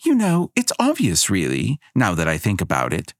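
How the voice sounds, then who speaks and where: A man voicing a haughty, sharp-tongued young fire goddess in a storybook reading, snippy and mocking. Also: deep voice, wildly swooping pitch, normal speed, no echo, studio quality, heavily acted.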